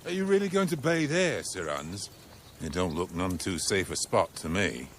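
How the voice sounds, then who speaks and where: Gruff older servant in a game, very low voice, rustic and grumbly but lively. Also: male voice, moderate pace, a little hiss or hum. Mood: wary, dutiful. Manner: rustic, plain-spoken grumbling. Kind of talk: video game voice acting.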